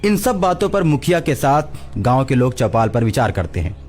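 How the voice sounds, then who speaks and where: A man's voice: medium pitch, big pitch swings, normal speed, a little mellow, faint music behind. A man narrating a scripted cartoon story as the storyteller.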